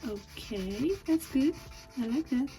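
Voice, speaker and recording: A young woman with a Persian accent chatting softly while she draws, thinking aloud as she goes. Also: fairly high pitch, lively intonation, slow. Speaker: drawing video creator. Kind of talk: casual chat while drawing. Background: faint music and marker scratching.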